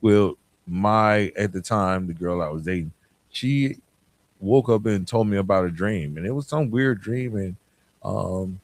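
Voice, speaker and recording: A man's voice: low-pitched, measured pace. A man hosting a podcast, talking in a plainspoken, conversational motivational style and addressing listeners directly with rhetorical questions. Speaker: podcast host.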